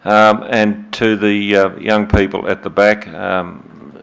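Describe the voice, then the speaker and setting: A man with an Australian accent chairing a council meeting as mayor, measured and hesitant with many fillers, recorded on dull lo-fi room audio. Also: fairly low pitch, flat delivery, creaky, a bit bright, a little hiss or hum. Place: council chamber.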